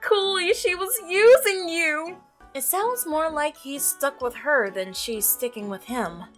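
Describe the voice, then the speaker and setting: Animated woman gamer reacting. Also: high-pitched, measured pace, soft background music. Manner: expressive, exclaims and laughs at the story. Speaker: let's play gamer. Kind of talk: reading and reacting to a visual novel.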